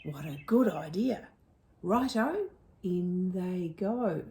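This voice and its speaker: A woman's voice: lowish voice, lively intonation, takes it slowly, warm tone, slight background noise. A woman voicing a sly, boastful male dingo in a storybook, gloating and sometimes chanting in a sing-song way. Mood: gleeful, smug.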